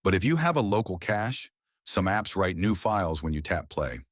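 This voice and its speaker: A man voicing a retired telecom engineer who speaks in a measured, noncommittal way in a narrated story. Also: low-pitched, very animated, no echo, clean studio sound. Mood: thoughtful.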